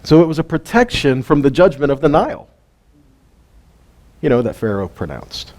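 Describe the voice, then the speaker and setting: A man's voice: mid-range voice, big pitch swings, normal speed, darkish tone. A man teaching a weekly Bible lesson in a conversational way, asking his listeners questions and chuckling between points. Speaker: teacher of a weekly Torah study. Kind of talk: informal Bible teaching to a live group.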